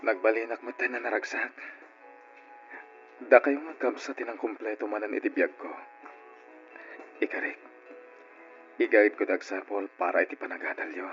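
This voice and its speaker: Man acting a gentle Ilocano uncle, muffled radio drama. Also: on the low side, steady tone, moderate pace, pauses often, natural voice. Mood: reassuring. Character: Uncle Gilbert, kindly adult son and guardian of orphans. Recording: dull lo-fi radio recording with music.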